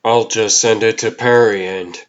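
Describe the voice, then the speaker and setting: A man's voice: on the low side, steady tone, normal speed, highs cut off, heavy background noise, natural voice. A man playing an earnest young reporter who is secretly a superhero, in a wry comic dub performance. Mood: wry, rueful.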